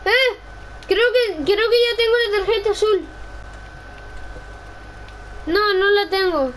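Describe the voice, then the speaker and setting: A woman's voice: extremely high pitch, takes it slowly, fairly bright tone, low-quality recording. A woman chatting casually while gaming, insistent and often repeating her requests. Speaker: gamer. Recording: faint background noise.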